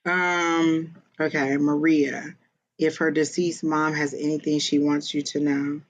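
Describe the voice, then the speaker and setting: A psychic medium giving live-streamed readings in a casual, expressive way, often exclaiming and pausing on fillers. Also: mid-range voice, a little hiss or hum.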